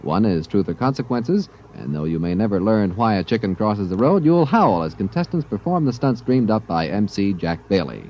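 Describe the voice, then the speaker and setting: A man's voice: fairly low pitch, very animated, normal speed, hardly any pauses. A man with an American accent reading a scripted 1950s radio promo. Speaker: radio announcer. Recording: dull old radio recording.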